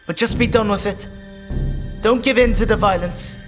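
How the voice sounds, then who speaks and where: A high-pitched young male farmhand acted by a man in a dark fantasy audio drama. He is humble and loyal, speaking with anxious earnestness over prominent music. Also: normal speed, natural voice. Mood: worried, resolute. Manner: earnest, pleading.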